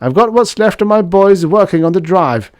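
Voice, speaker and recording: A big, battle-weary military engineer played by a male narrator in a science fiction story. He speaks well up in pitch, urgent and protesting, with a dry, close sound. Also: expressive, normal speed, dry recording. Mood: weary resolve.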